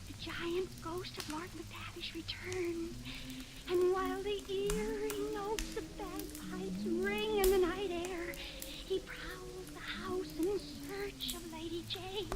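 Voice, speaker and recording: A woman's voice: extremely high pitch, little pitch movement, takes it slowly. An actress playing a chatty young neighbor girl, earnest and melodramatic, in 1940s radio comedy style. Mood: spooky, melodramatic. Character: chatty, dramatic young neighbor girl telling spooky tales. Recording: very noisy vintage radio recording.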